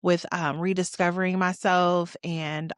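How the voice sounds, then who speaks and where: A woman's voice: on the low side, level tone, measured pace, smooth, dry recording, studio quality. A woman of forty, hosting her own podcast and talking through her life in a candid, reflective, conversational way with casual slang. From Detroit, USA. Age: 40s. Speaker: podcast host. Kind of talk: personal solo podcast monologue.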